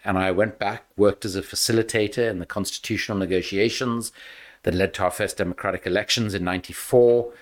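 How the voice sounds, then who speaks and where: An older man with a South African accent, speaking as a podcast guest in a measured, emphatic way. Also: on the low side, fairly even intonation. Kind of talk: podcast interview.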